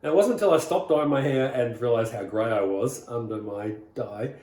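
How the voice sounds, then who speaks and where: A man's voice: mid-range voice, moderate pace. A middle-aged man with an Australian accent tells his stories easily, drifting through "uh"s and restarts. His voice sits in an average register and carries a roomy echo. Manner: rambling, relaxed, many "uh"s and restarts. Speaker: rockabilly singer, guest. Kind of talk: casual interview storytelling.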